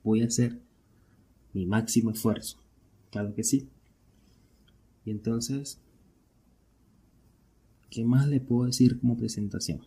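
Casting: male podcast host, Venezuelan accent, very dark relaxed tone with plenty of gravelly creak, conversational solo delivery. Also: medium pitch, unhurried, long pauses. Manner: conversational, asks and answers own questions. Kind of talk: casual solo podcast monologue.

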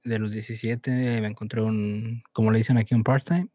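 A young man in his twenties speaks Spanish with a Mexican accent. His voice sits low and mellow, and he talks in a slow, laid-back way, full of fillers and slang. The recording is dry and close, with no room sound. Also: little pitch movement, dry recording. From born in the United States, raised in Mexico. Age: 20s. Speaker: guest. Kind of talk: casual chat.

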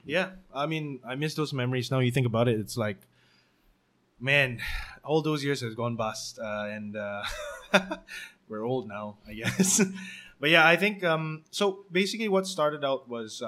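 A man's voice: medium pitch, moderate pace, pushed and bright. A man in his twenties with a Singaporean English accent, chatting casually in an interview and laughing often between fillers. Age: 20s. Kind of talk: casual conversational storytelling.

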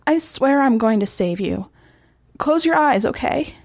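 A woman's voice: high voice, expressive, unhurried, darkish tone, no echo, studio quality, voice pushed up, full character voice. A small wolf girl, defiant but full of guilt, played by a woman narrator in a story reading. Her voice is lifted and earnest, strained with urgency. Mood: pleading, reassuring. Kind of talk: dramatic short story reading.

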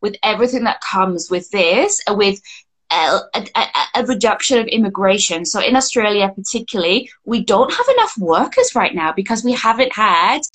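A young adult woman, chatting casually as an employment coach, full of fillers and quick restarts. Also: medium pitch, very animated, a bit bright. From Scotland. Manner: chatty, frequent fillers and restarts, laughs easily. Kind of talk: casual podcast chat.

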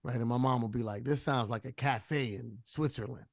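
A man with a husky voice, talking loosely with lots of restarts and fillers as an interview guest. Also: mid-range voice, moderate pace, no room sound, studio quality. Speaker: rapper guest. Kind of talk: casual interview talk.

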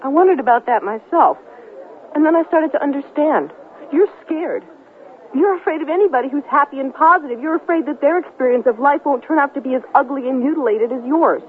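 A woman's voice: sits high, animated, brisk. An actress playing a hurt but firm young ex-girlfriend in a radio drama, earnest and emphatic. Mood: hurt, accusing. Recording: tinny narrowband radio broadcast with faint noise.

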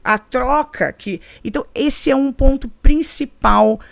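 A woman's voice: high voice, a little slow, gravelly, no echo. A woman with a Brazilian Portuguese accent explaining pronunciation conversationally, like a teacher, often checking that the listener follows. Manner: didactic, often checking understanding with short tag questions. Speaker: English pronunciation teacher, interview guest. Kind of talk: conversational explanation with spoken examples.

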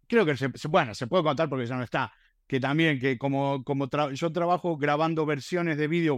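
Male podcast host, slightly high voice, dry recording, rambling and self-deprecating in casual conversation. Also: no room sound. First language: Spanish. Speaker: podcast host and video creator. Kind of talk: casual panel chat.

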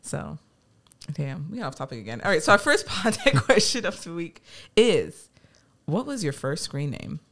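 A woman in her thirties from the United States, co-hosting a casual podcast and telling stories in lively, slangy chat. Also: low-pitched, moderate pace. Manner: animated, chatty storyteller with casual slang. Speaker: podcast co-host.

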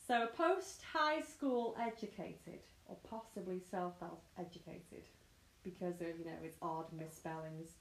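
A woman's voice: on the low side, unhurried. A young British woman hosting a casual true crime chat, chatty and giggly, often self-mocking. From Britain. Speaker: podcast host. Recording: echoey room.

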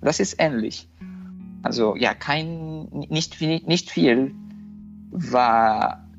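A man's voice: fairly high pitch, drawn-out words. A man whose first language is Spanish, chatting casually in a learned language and often stopping to search for words. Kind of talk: casual conversation. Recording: lo-fi recording with faint music.

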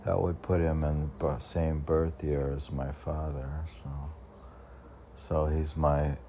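A man's voice: very deep voice, level tone, slow pace, dark tone. A middle-aged man reading his own poetry, declaiming the verse and muttering off-the-cuff asides to himself. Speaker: poet. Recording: faint background noise.

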